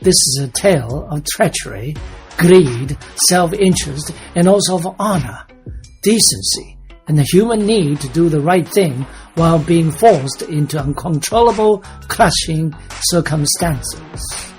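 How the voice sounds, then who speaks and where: Slow dramatic male trailer narrator over music. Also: fairly high pitch, animated, a little mellow. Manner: dramatic, deliberate storytelling.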